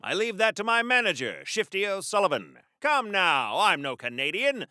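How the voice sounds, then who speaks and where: A man's voice: high-pitched, very animated, moderate pace, sharp tone, dry recording, clean studio sound, heavily acted. A man playing a pompous, wholesome golden age comic book superhero in a video game, with wordy, old-fashioned genteel diction in the style of a 1940s radio serial. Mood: wry.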